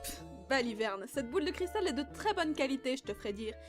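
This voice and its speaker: A woman playing a bossy, breezy séance hostess in an amateur comedy audio skit, brisk and flippant. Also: high voice, lively intonation, over music. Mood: offhand.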